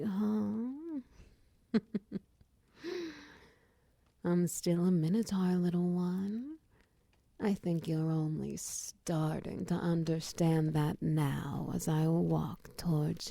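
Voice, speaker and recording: A woman voicing a muscular female minotaur wizard who runs a potion shop, in a teasing, commanding roleplay ASMR style, with a breathy voice that slips into whispers. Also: lowish voice, dark tone, dry recording, exaggerated voice. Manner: intimate, teasing, commanding, with pet names. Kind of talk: roleplay ASMR audio drama.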